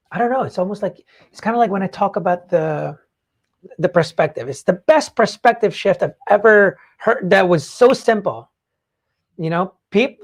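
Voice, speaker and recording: Husky-voiced podcast host, casual and emphatic. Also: mid-range voice. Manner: informal, frequent swearing; emphatic, repeats phrases. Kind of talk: casual live book discussion.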